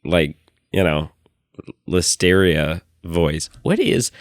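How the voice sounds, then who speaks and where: Hoarse, nasal man losing his voice. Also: deep voice, expressive, takes it slowly, no echo, clean studio sound. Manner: teasing, sarcastic banter. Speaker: podcast co-host. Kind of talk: casual chat.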